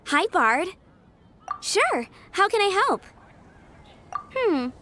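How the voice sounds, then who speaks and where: A woman's voice: very high-pitched, big pitch swings, drawn-out words, sharp tone, a little hiss or hum, exaggerated voice. A woman voicing a cheerful, earnest young girl in a game, talking eagerly. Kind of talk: animated game dialogue. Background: game background music.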